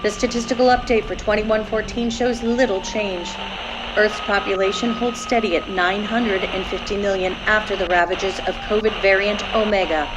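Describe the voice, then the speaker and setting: A composed sci-fi newsreader played by a woman reads a formal bulletin in a radio drama. The voice is mid-pitched, with clear noise behind it. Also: fairly even intonation, natural voice. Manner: formal, measured announcing. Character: female futuristic newsreader for Martian colonies, composed, formal. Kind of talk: scripted news bulletin in a radio play. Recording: noisy radio broadcast sound.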